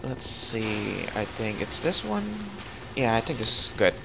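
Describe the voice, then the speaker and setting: A man hosting a casual co-op gaming session, calmly guiding his partner through the level. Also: fairly low pitch, measured pace, creaky, noisy background. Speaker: channel host playing co-op.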